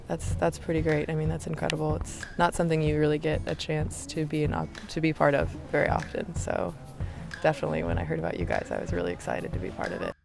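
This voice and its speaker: Gravelly-voiced woman, halting, music under. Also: low voice. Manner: halting, restarts sentences. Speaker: interviewee, model. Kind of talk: casual interview.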